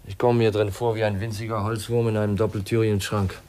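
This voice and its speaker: An actor playing a shy, awkward young heir in a 1950s radio drama, speaking hesitantly and politely. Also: male voice, on the low side, flat delivery, darkish tone, natural voice. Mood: lonely, oppressed. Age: young adult. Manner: hesitant, halting, polite, murmuring inner monologue.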